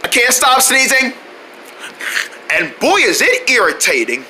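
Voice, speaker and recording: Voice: medium pitch, very animated, moderate pace, bright, forceful tone, a little hiss or hum, rough recording. A performer voicing a good-natured but exasperated, congested, sneezy character for a children's show.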